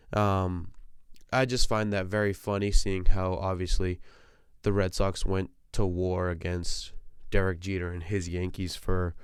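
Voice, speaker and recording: A young man, his voice deep and smooth, talks alone into the mic about sports. He rants casually and swears freely, and he is getting over a cold. The sound is dry and close, from a small compact room. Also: dry recording. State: recovering from a cold. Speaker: podcast host. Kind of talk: solo sports talk.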